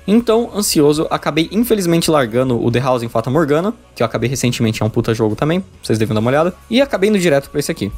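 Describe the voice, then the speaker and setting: A man's voice: a little high, big pitch swings, rapid-fire, hardly any pauses, darkish tone. A man with a Brazilian accent narrating a scripted but informal, profane video essay. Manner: casual, profane. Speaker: video essayist reviewing visual novels. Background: background music.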